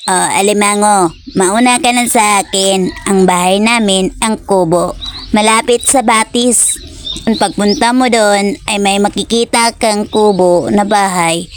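A woman's voice: medium pitch, a little slow, a little hiss or hum, close to an everyday voice. Woman doing a drowsy lazy young man.

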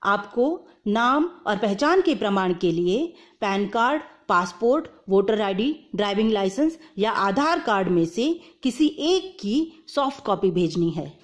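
A woman's voice: medium pitch, expressive, unhurried, few pauses. A woman giving an explanatory tutorial voice-over step by step, mixing English terms into her speech. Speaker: video presenter explaining instructions.

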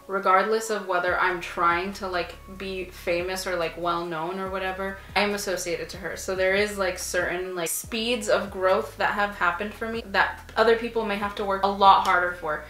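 A woman's voice: medium pitch, normal speed, few pauses, roomy sound, soft background music, poor audio. Chatty young woman, filler-heavy. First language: Arabic. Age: 20s. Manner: chatty, many "like" fillers, relaxed. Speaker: artist and vlogger. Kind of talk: casual Q&A chat while painting. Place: small home room, sitting on a couch. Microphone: camera a few feet away.

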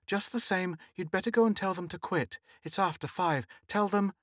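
A man voicing a nervous, anxious middle-aged housewife, shrill and pleading. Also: sing-song, fairly quick, no echo, clean studio sound. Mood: uneasy.

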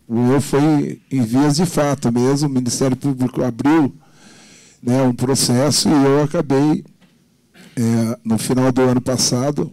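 A man, a bar owner recounting his troubles haltingly at a public meeting on a handheld mic. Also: medium pitch, darkish tone, no room sound, poor audio. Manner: aggrieved, halting storytelling. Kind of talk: public testimony. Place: council meeting chamber.

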